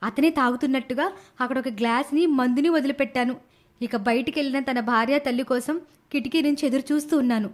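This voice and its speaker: A woman voicing a scheming, desperate young woman in an animated moral story, with a dramatic, urgent delivery. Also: high voice, brisk, close to an everyday voice. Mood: urgent confessing.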